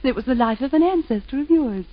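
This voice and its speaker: An actress playing a composed, witty wife and mother who speaks in a gently teasing, lightly ironic way in 1940s radio acting. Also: high voice, animated, fast. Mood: sly. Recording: dull 1940s radio broadcast.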